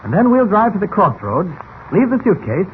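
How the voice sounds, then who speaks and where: A take-charge reporter, calm but brisk, voice swings around, old radio drama with some hiss. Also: male voice, high-pitched. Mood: determined. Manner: brisk, authoritative, reassuring. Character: calm, decisive newspaper reporter, grown man. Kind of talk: 1940s radio serial acting. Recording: tinny, band-limited old radio with hiss.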